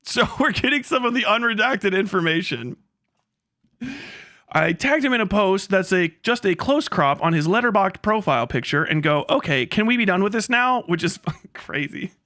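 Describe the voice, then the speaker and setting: Male streamer, high bright voice, casual rambling commentary, amused and profane, close dry sound. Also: expressive, normal speed, no room sound. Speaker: live streamer, host of the channel. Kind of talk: casual livestream commentary with reading aloud.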